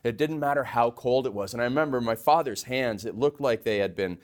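Give me a man from Canada on a studio podcast, relaxed and thoughtful, often restarting mid-sentence. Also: mid-range voice, fairly quick. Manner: relaxed, reflective, with frequent restarts. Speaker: podcast co-host. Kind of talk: casual podcast conversation.

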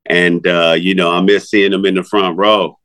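A middle-aged man with a fairly low voice talks loosely about his music career. His manner is laid-back and unpolished, peppered with swearing and "you know, man.". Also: flat delivery, normal speed, a bit bright, smooth. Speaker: rapper, interview guest. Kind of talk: casual interview talk.

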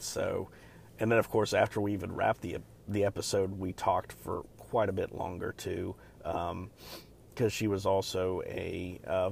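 A man hosting a podcast alone, talking in a loud, deep voice and rambling casually with many fillers and restarts. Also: vocal fry, slight background noise. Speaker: podcast host. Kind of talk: casual solo podcast chat.

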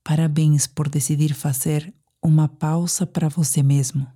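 A woman with a Brazilian accent leading a guided sleep meditation, soothing and deliberate, leaving pauses between phrases. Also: sits low, flat delivery, dark tone, slightly rough.

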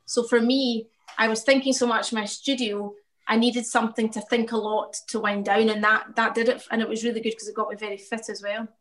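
A woman's voice: a little high, flat delivery, a little fast, a bit bright, low-quality recording. A woman painter talking reflectively about her work in a casual interview, often laughing.

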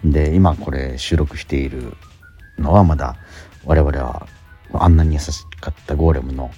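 Middle aged guy hosting radio, super deep n a bit husky, chatty, lively, music under him. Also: moderate pace. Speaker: radio host and theatre actor. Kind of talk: casual radio talk. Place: theatre auditorium during rehearsal.

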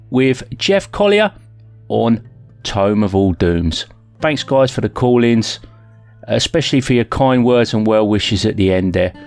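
A man with a mid-range voice hosts a podcast, delivering a friendly, thankful sign-off. Plucked guitar music plays along behind him. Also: mid-range voice. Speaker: podcast host.

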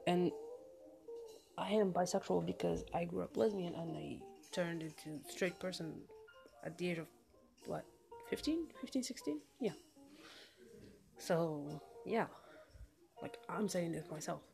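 A woman's voice: fairly low pitch, expressive, unhurried, gravelly, long pauses, warm tone, soft background music. A woman with a somewhat masculine voice giving casual, emphatic advice in a monologue, repeating phrases to drive her points home. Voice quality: self-described masculine voice. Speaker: vlogger giving personal advice.

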